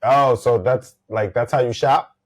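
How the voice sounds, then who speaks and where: A man's voice: medium pitch, steady tone, a little slow. A man hosting a podcast, asking questions in a teasing, slangy, casual way. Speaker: podcast host. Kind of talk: casual chat.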